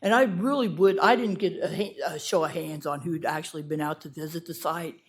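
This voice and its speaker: Loud, frank woman at a city meeting. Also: low voice, brisk. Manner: loud, frank, self-mocking. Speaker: resident giving public comment. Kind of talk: public comment at a city meeting. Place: city council chamber.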